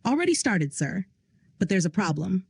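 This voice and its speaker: A woman's voice: mid-range voice, big pitch swings, moderate pace, vocal fry, breathy edge, no echo, clean studio sound, full character voice. Woman voicing a grim male aide, clinical, matter-of-fact, briefing tone. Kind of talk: audio drama reading.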